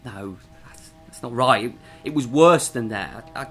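A man's voice: mid-range voice, fairly bright tone, faint music behind. An actor playing a nervous drifter who stammers and halts as he recounts something horrifying. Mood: nervous, shaken. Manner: halting, stammering, frightened.

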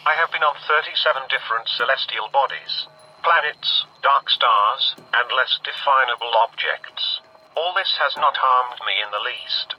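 Husky, filtered disembodied brain in a machine. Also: male voice, mid-range voice. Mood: serene, persuasive. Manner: courteous, measured, persuasive. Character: serene disembodied human brain speaking through a machine. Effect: electronic voice machine filter. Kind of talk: radio drama acting. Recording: dull radio-like electronic sound.

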